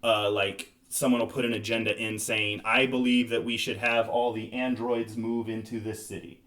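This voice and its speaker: Male podcast host, lowish voice, relaxed conversational game-review delivery. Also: moderate pace. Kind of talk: casual board game review chat.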